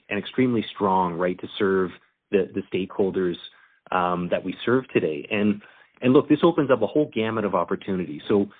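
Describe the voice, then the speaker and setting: Low-pitched executive man over phone audio. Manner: repeats words, tags phrases with "right". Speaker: company executive. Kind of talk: answering questions on an earnings call. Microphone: conference call line. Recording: thin phone-like conference call line.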